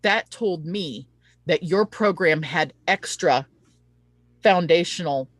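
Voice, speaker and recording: A woman's voice: fairly low pitch, slow pace, no room sound. A woman hosting a conversational interview, talking in an emphatic way and given to tangents. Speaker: interview host.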